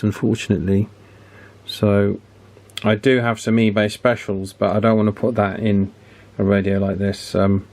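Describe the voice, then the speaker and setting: A man's voice: lowish voice, darkish tone. A British man giving casual, hands-on repair commentary, thinking aloud in a matter-of-fact way as he presents. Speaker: radio repair hobbyist presenter.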